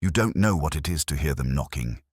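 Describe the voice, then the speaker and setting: A man's voice: extremely low pitch, lively intonation, brisk, husky, no room sound, clean studio sound, exaggerated voice. A man voicing a desperate, guilt-haunted villain in an audiobook, agitated and defiant. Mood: haunted, breaking.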